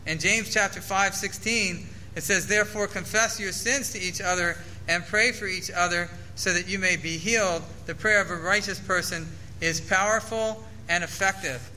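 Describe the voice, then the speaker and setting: A man's voice: sits high, steady tone, normal speed, pushed and bright, slight background noise, low-quality recording. A man preaching a sermon to his church, earnestly reading scripture aloud and explaining it. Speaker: pastor.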